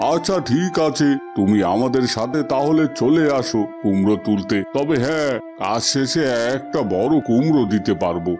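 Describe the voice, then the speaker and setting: A man's voice: medium pitch, lightly acted. A man voicing a grudging but fair, bossy field worker in a cartoon. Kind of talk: cartoon voice acting. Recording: music behind the voice.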